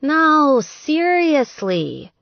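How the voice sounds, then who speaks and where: A tipsy young woman nursing heartbreak, acted by a female narrator. She is plaintive and sighing, pitched up and swooping with self-pity. The recording is dry and a little dull, in audiobook style. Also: big pitch swings, extremely slow, vocal fry, no room sound, heavily acted. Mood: tipsy protest. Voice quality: drunken, hiccupy delivery. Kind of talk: audiobook character dialogue.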